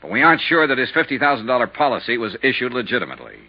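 An American actor playing a brisk, insistent insurance investigator in a 1950s radio detective drama, with a clipped, hard-boiled delivery. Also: male voice, mid-range voice, big pitch swings. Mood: determined. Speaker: lead actor and narrator. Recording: dull, muffled 1950s radio recording.

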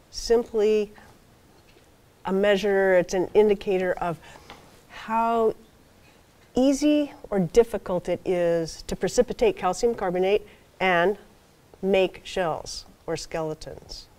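A soft-spoken older woman gives a guest lecture from a podium in a lecture hall. She explains things patiently, checking in with the audience with frequent okays and ums. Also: medium pitch. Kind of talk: university seminar talk.

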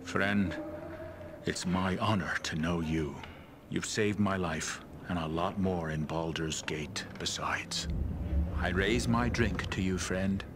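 An actor playing a grateful, hearty tavern drinker in a video game, heartfelt and deliberate. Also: male voice, low-pitched, background noise.

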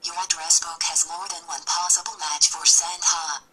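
A synthetic female voice assistant delivers short scripted replies. The voice is bright and slightly low for a woman, heard through a phone's speaker. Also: level tone, normal speed, husky. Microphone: phone speaker picked up by camera.

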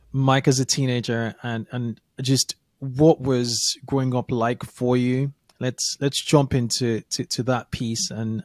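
A man hosts an interview podcast from a small studio, speaking into a close microphone that sounds dry. His voice sits at an ordinary middle pitch, and he talks in a chatty way, often repeating words while he thinks. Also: dry recording. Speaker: podcast host. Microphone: studio mic with headphones.

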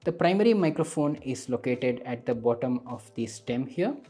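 Mellow male tech reviewer, slightly husky. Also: mid-range voice, lively intonation, normal speed, soft background music. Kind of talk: scripted product review narration.

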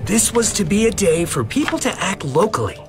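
A man's voice: high voice, very animated, fairly quick. A man narrating a scripted comic-book history in an expository, emphatic, dramatized way. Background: music.

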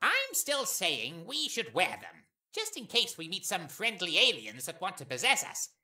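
Goofy male skeleton, played by a woman: bright, punchy, earnest, roomy echo, audio drama. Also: on the low side, huge pitch swings, moderate pace, full character voice. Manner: emphatic, earnest.

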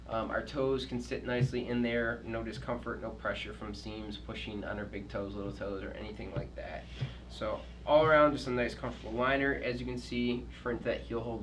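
A man's voice: medium pitch, a little hiss or hum. Man explaining ski boots in a shop. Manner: steady explanatory with frequent ums and uhs. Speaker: ski shop product reviewer. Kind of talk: unscripted product demonstration. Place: ski shop with boots and skis on the walls, indoors. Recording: distant mic with steady shop ambience.

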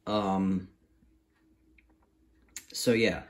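A man giving a casual, unscripted review in a halting, repetitive and emphatic way, recorded in a room at home. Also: sits low, steady tone. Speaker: vlogger reviewing gadgets. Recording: roomy, echoey home recording.

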